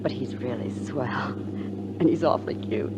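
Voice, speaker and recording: An actress playing a spirited young wife and new mother on a farm, dramatic and quick to scold, in old film comedy style. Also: very deep voice, creaky. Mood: fond. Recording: dull, noisy old film soundtrack.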